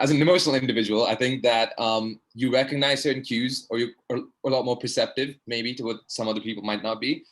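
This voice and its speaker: Young guy w a lowish voice, earnest, rambly, lots of ums, video call. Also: fairly bright tone. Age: young adult. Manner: earnest, rambling with many fillers. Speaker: audience participant, medical student. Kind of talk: online discussion question.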